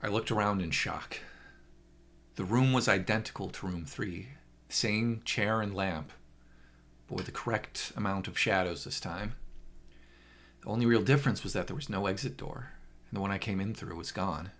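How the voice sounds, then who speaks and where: Guy doing dramatic horror narration, kinda low but sharp voice, really intense storyteller. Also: normal speed, pauses often. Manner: intense, theatrical delivery.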